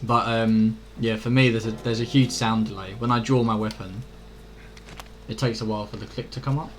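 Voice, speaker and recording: A man's voice: fairly low pitch, steady tone, moderate pace. Informal British male game streamer, faint background noise. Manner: informal, rambling, mild swearing. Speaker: video game live streamer. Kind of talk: casual chat to viewers during gameplay. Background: faint game ambience.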